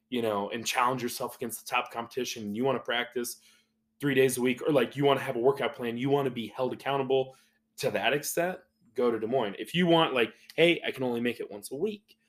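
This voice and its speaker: An American man of thirty-three chatting casually as a podcast host, rambling informally and saying like often. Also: mid-range voice. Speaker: podcast host and rugby player.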